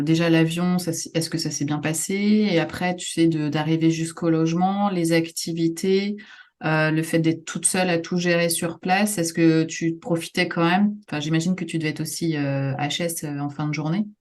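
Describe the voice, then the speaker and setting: A woman host asking friendly, halting questions in a casual interview. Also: deep voice, steady tone, a little mellow.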